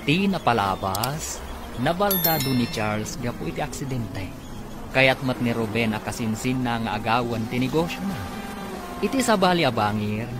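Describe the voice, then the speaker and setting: A man narrating a radio drama recap as announcer, in a dramatic scripted style. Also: mid-range voice. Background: music.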